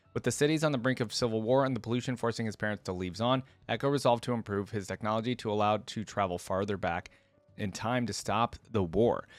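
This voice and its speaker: A man's voice: mid-range voice, dry recording. A man hosting a casual reaction video, chatting informally to viewers and reading aloud as he goes. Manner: informal, addresses viewers directly as "guys". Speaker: video host, gaming fan reactor.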